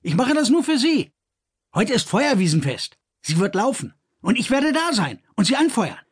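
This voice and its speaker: A man's voice: extremely high pitch, very animated, husky, pitched above the performer's own voice, exaggerated voice. A man voicing a brash young hothead. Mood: determined. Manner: brash, fast, challenging. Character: bold, defiant young man, strong and determined.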